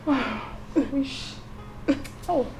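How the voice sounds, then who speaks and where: High-voiced, volatile Nigerian mother-in-law. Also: animated, extremely slow, background noise, heavily acted. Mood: tearful, tender. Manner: scolding, repeating demands, emotional. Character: volatile, domineering mother-in-law, torn between scolding and tenderness. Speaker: actress. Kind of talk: melodramatic film drama acting. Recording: music bed under dialogue.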